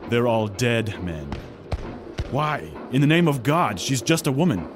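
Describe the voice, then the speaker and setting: An actor playing a stern, dutiful military ship captain in an audio drama, speaking formally and gruffly. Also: male voice, medium pitch, big pitch swings, a little slow, over music. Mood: alarmed, grim. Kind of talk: full-cast audio drama acting.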